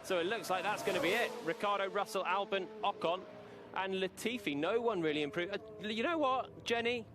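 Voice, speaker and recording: A man's voice: high voice, fairly quick, background noise. A man giving live analysis as a motor racing co-commentator, with cars heard behind him.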